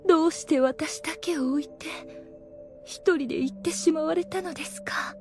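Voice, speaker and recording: Poised, high-voiced demoness. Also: female voice, normal speed. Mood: hushed, tragic. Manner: quiet, restrained, intense. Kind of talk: Japanese anime audio drama acting. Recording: prominent music bed.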